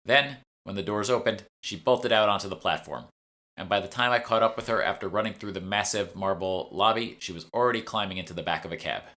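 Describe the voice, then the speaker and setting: Bright, low-voiced man narrating a novel. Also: a little fast. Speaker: author reading own audiobook. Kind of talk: scripted first-person audiobook narration.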